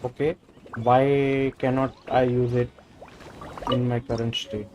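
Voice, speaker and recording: A man's voice: mid-range voice, little pitch movement, slow pace, background noise. A man giving casual gameplay commentary as a streamer. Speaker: game streamer. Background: game music.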